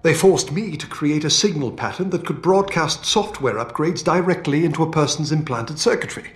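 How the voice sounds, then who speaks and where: An actor playing an older British scientist in a video game, feisty and fatherly, with colourful, expressive delivery. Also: on the high side, moderate pace, natural voice. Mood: grave. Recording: roomy game audio with music.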